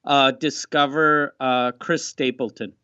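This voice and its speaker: A man's voice: medium pitch, steady tone, slow, bright, forceful tone, smooth, no echo. A man co-hosting a podcast in casual chat, rambling and laid-back with frequent swearing. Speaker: podcast co-host. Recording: dull, dry podcast mic.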